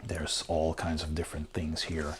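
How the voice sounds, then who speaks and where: A man's voice: rumbling low, completely flat delivery, moderate pace, slight background noise. A man giving a casual, unscripted review as host, with an informal, enthusiastic way of talking. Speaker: board game reviewer, host.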